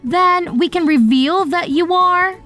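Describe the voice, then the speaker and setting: A woman voicing an earnest, optimistic young heroine who narrates her own animated story in an expressive, dramatic way. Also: extremely high pitch, takes it slowly, heavily acted. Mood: earnest storytelling. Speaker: narrator and lead character. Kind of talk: animated first-person story narration with acted dialogue. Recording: voice over background music. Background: music.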